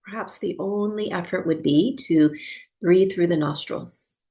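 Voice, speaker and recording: A woman teaching breathwork and guiding a meditation for sleep, speaking softly in a soothing, unhurried way with long pauses. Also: lowish voice.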